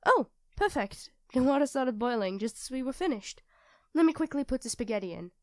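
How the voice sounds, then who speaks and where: A woman's voice: high voice, animated, brisk, noisy background. A woman playing a sweet, self-doubting young girlfriend in an intimate ASMR roleplay, speaking hesitantly and earnestly to her partner. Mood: caring, helpful. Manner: hesitant, soft-spoken, earnest, addressing a partner directly.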